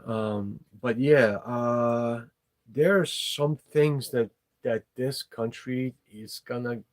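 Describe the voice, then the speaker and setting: Man, non-native English speaker, host of a live stream, rambling and frank, mid-pitched voice. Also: slow pace. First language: not English. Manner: rambling, frank, swears casually. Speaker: livestream host. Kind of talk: unscripted live chat.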